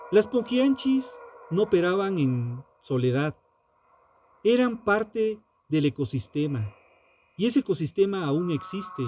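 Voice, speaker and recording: Slow, solemn male true crime narrator. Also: fairly high pitch, darkish tone, a little hiss or hum. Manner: solemn, dramatic, deliberate phrasing with rhetorical questions. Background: music.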